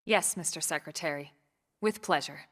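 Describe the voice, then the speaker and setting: A woman's voice: low-pitched, moderate pace. A woman playing a cool, capable military team leader in a video game cutscene, composed, dry and matter-of-fact. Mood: assured. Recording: very loud music behind the voice.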